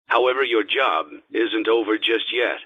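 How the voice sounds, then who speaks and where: A muffled, lo-fi cassette recording carries the low voice of a grave male instructor. He is husky and measured, reading formal game instructions with calm, ominous detachment. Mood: matter-of-fact, dry. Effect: cassette tape filter. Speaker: instructional tape narrator. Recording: dull, lo-fi cassette tape sound.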